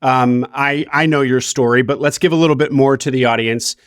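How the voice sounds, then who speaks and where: A man's voice: mid-range voice, fairly even intonation, a little fast, clean tone, no room sound. A man hosting a podcast interview, talking conversationally with emphatic rises on key words. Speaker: podcast host.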